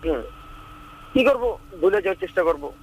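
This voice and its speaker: A young man phones an advice show. He sits slightly high in pitch and sounds hesitant and pleading, stumbling and repeating his words, over a faint hiss. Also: lively intonation, moderate pace, a little mellow, low-quality recording. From Bangladesh. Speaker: caller.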